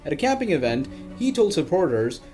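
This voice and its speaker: A man's voice: on the high side, sing-song, darkish tone, faint music behind. Man narrating a dramatic history story. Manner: dramatic storytelling with emphatic pauses. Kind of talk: scripted documentary narration.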